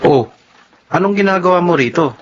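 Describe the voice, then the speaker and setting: A man's voice: sits high, animated, moderate pace, highs cut off, loud music behind the voice. A man playing a decent, protective supervisor who is easily flustered, in a Tagalog drama. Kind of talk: Tagalog radio-style drama acting.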